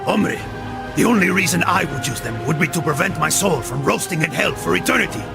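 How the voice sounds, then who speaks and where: An actor playing a loyal, wry Spanish mercenary with a Spanish accent and a gravelly voice in a video game. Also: male voice, lively intonation, a little fast. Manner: familiar, wry, peppered with Spanish words. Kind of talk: dramatic video game cutscene acting. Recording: music and rumble behind.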